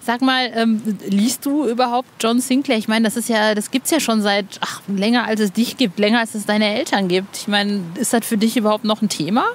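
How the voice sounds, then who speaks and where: A woman's voice: on the high side, steady tone, brisk, few pauses. A woman hosting a podcast interview in an informal, colloquial, eager way. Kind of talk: casual interview chat. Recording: faint background noise.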